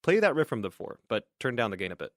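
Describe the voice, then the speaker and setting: A man's voice: medium pitch, sing-song, fast. An actor playing an easygoing male rock musician in an audio drama, casual and laid-back. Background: guitar playing.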